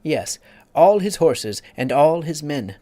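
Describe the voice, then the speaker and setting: A man's voice: fairly high pitch, sing-song, dark tone, no echo, voice pushed up. A man voicing a pompous, easily offended egg creature who lectures and takes offense. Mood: lecturing. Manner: haughty, quick to take offense, lecturing.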